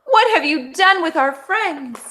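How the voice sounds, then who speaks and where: A woman's voice: extremely high pitch, animated, unhurried, bright, forceful tone, voice pushed up, heavily acted. A woman voicing a small, plucky, anxious female dog in a children's storybook. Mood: shocked.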